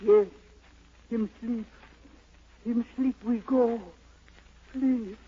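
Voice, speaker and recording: A woman's voice: on the high side, fairly even intonation, drawn-out words, breathy edge, phone-quality sound, heavily acted. An actress playing a frightened old island woman who pleads in broken English in a 1940s radio drama. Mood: fearful, cowed.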